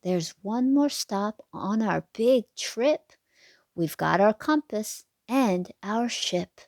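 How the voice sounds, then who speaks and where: Female storybook reader, unhurried and expressive, slight creak in the voice, close dry sound. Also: mid-range voice, no echo. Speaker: read-aloud narrator for children. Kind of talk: children's picture book read-aloud.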